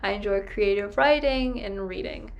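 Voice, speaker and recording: A woman's voice: mid-range voice, moderate pace, gravelly, lo-fi sound, background noise. Composed sixteen-year-old girl. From Hong Kong. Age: teens. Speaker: panelist. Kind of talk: self-introduction.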